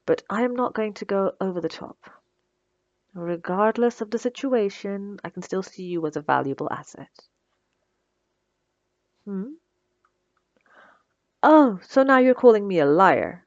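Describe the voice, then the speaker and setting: A woman's voice: medium pitch, animated, unhurried, long pauses, a little mellow, no room sound, muffled recording. A woman playing a smug, sarcastic female supervillain who taunts her captive with theatrical condescension in an ASMR roleplay. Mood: menacing, firm. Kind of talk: ASMR roleplay audio drama.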